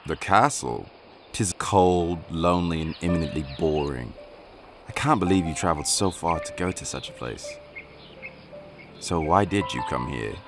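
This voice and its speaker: A man's voice: very deep voice, animated, normal speed, music in the background. A man playing a grumpy, proud talking hare in a radio play, with pompous, old-fashioned formal phrasing. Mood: haughty, probing.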